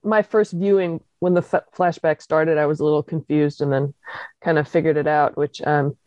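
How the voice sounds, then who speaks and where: A woman's voice: low-pitched, fairly even intonation, moderate pace, darkish tone, dry recording. A woman calling in to a fan talk show, chatting casually and thinking aloud over a dull, lo-fi line.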